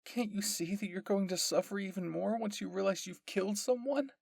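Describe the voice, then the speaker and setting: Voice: medium pitch, a little fast, vocal fry, darkish tone, voice pushed up. A performer voicing a young woman soldier in an anime roleplay, desperate, tearful and pleading with guilt.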